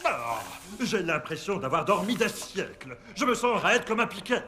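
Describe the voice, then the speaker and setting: An actor playing a stiff, groggy living puppet with a creaky voice in a French cartoon dub. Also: male voice, sits high, sing-song, normal speed, sharp tone, full character voice. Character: stiff, groggy puppet just awakened after centuries. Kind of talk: French animated film dub.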